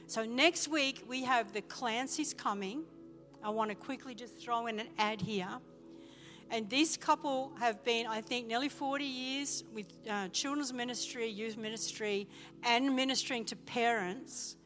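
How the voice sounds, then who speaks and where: A woman's voice: a little high, unhurried, fairly bright tone. Enthusiastic woman pastor in a reverberant church. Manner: enthusiastic, emphatic, calls out to the congregation. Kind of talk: church announcements from the pulpit. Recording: reverberant church hall with music behind.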